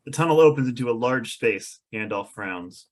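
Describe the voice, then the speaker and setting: Mid-pitched man narrating stage directions. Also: lively intonation, normal speed. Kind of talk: scripted narration at a table read.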